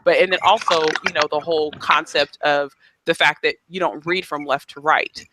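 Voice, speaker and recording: A man's voice: a little high, steady tone, moderate pace, sharp tone, no echo, rough recording. A man hosting a live panel discussion, talking conversationally with frequent restarts and fillers. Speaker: host.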